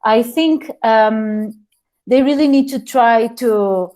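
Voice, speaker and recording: Slow, hesitant Portuguese-accented woman. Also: a little high, a little mellow. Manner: hesitant, frequent pauses and restarts. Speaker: teacher presenting a webinar. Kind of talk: halting online presentation.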